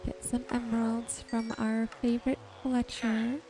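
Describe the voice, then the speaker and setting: A woman giving relaxed ASMR-style gameplay commentary, gently thinking aloud without hurry. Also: fairly high pitch, unhurried, soft background music. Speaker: gaming video host.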